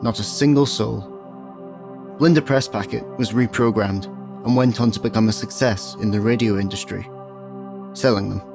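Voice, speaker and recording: A man with a Scottish accent narrating a comic radio play in a deadpan way. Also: on the low side, normal speed, vocal fry, long pauses. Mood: wry. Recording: music behind the voice.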